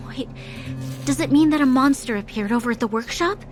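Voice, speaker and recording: A woman's voice: sits high, normal speed, lightly acted. A woman voicing a composed, caring young woman in a video game, with thoughtful, persuasive phrasing. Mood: thoughtful, concerned. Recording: music clearly behind the voice. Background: game music.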